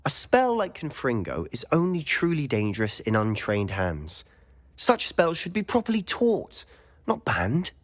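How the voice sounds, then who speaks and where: A man's voice: mid-range voice, huge pitch swings, a little slow. A young man playing a confident, secretive student in a game cutscene, eager and conspiratorial. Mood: confiding.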